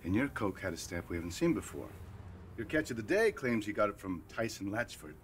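A man's voice: lowish voice, big pitch swings, brisk, a little hiss or hum. A male actor playing a middle-aged police captain in a video game, curt and authoritative. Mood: brisk, stern. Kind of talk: video game cutscene acting.

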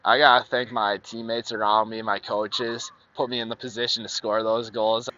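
A young man, a high school lacrosse player, giving an easygoing, grateful post-game interview outdoors on a field at night, holding a handheld mic. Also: little pitch movement, normal speed, sharp tone, muffled recording. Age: young adult. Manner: easygoing, grateful, laughs often.

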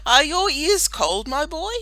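A woman's voice: high-pitched, very animated, a little slow, sharp tone, dry recording, voice pushed up, heavily acted. A woman voicing a horrid, teasing old man in a children's storybook. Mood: mocking. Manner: mocking, teasing.

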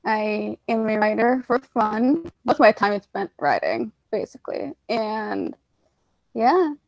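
A woman in her twenties talking haltingly on a video call. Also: a little high, very animated, vocal fry, darkish tone, no echo. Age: 20s. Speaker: panelist. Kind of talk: casual video call chat. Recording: dull muffled call audio.

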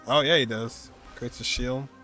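A young man streams a game and reacts to it. His voice sits at a middle pitch and his delivery is casual and conversational. Music from the game plays behind him. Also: steady tone, moderate pace.

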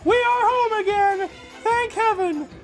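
A woman's voice: very high-pitched, unhurried, loud music behind the voice. A woman voicing a tender, easily frightened young newlywed bride in a melodramatic horror comic dramatization. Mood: tender, uneasy.

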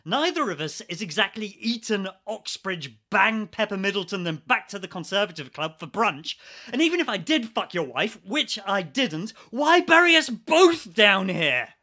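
A man's voice: high voice, big pitch swings, moderate pace, bright, forceful tone, exaggerated voice. A man acting in a radio play as an exasperated, sarcastic ex-army geologist. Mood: incredulous, sarcastic. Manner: sardonic, sweary, incredulous.

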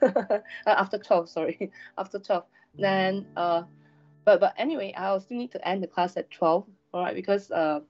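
A woman, a university lecturer giving a chatty online class briefing, her voice slightly hoarse after a sore throat, with frequent fillers and "all right" checks. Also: medium pitch, darkish tone. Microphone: video call webcam. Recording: dull lo-fi online class recording.

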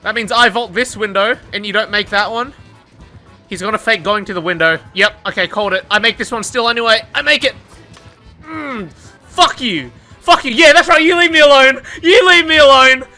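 A young man commentating his own gameplay, excitable and swearing, shouting at the game and laughing, a bit worn out. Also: very high-pitched, normal speed, faint music behind. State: tired, says his voice is going. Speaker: gaming YouTuber.

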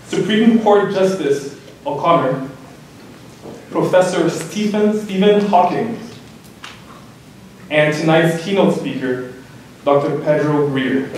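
A young man reads a prepared introduction at a podium, earnest and a little halting. His voice sits slightly high. He is heard from the back of a reverberant hall with faint noise. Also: darkish tone. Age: young adult. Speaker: student introducing the speaker. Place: large lecture hall, indoors. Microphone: podium mic, recorded by a far camera. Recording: echoey hall from far off.

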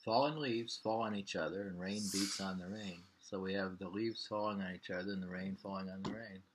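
An older man in his sixties reading poems aloud at home with informal, halting commentary, repeating lines and names. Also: low voice, steady tone, noisy background. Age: 60s. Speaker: poetry reader. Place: small living room at home.

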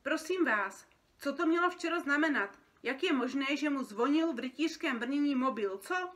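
A woman's voice: high-pitched, a bit bright, voice pushed up. A woman voicing an exasperated, bossy feathered office worker on the phone in a storybook. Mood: indignant. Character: busy, irate feathered office workers ordering dreams by phone. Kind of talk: storybook character reading.

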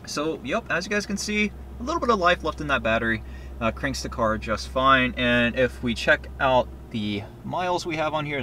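A man's voice: mid-range voice, fairly bright tone, a little hiss or hum. A young man vlogging casually, explaining as he shows things on camera in a parking lot and inside a car. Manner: chatty, explaining as he goes. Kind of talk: casual vlog narration.

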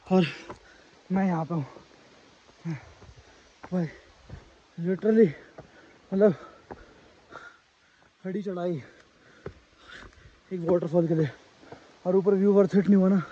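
A man's voice: high-pitched, unhurried, long pauses, mellow, dull audio, slight background noise. A young man in his twenties vlogging a road trip, chatting and joking crudely with friends from a car and a forest trail, filmed on a handheld phone. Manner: joking, crude banter, addresses viewers directly. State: out of breath on steep climb. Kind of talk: casual travel vlog chat with friends. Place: inside a car on mountain roads, then outdoors on a forest trail. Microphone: phone camera held by hand.

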